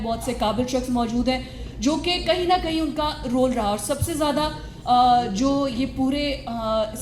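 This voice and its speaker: Female event host, Pakistani accent, high voice, rapid-fire delivery, echoey room. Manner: switches between Urdu and English. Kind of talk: live event hosting speech. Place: indoor reception room. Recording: echoey room with faint noise.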